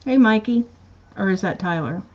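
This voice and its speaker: A woman's voice: mid-range voice, lively intonation, moderate pace, lo-fi sound. A woman co-hosting a casual livestream. She is easygoing, laughs often and reads viewer comments aloud. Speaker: co-host of a live chat show.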